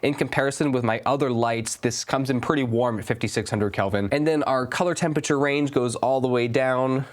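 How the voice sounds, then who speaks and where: A man's voice: mid-range voice, steady tone, a little fast, hardly any pauses. A young man with a stuffy, congested voice, giving a casual, enthusiastic review of a studio light. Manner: casual, enthusiastic about gear. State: stuffy, under the weather. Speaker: gear reviewer.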